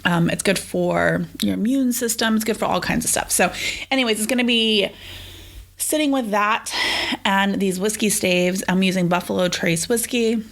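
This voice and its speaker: A woman's voice: mid-range voice, normal speed, vocal fry. A middle-aged woman hosting a casual solo podcast, sick and sniffly, chatting in a rambling way with many fillers. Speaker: podcast host.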